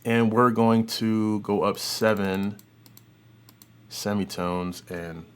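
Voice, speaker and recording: A man hosting an audio software tutorial, talking informally and thinking aloud as he works through a demo. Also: fairly low pitch, fairly even intonation, takes it slowly, noisy background. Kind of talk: casual demo commentary.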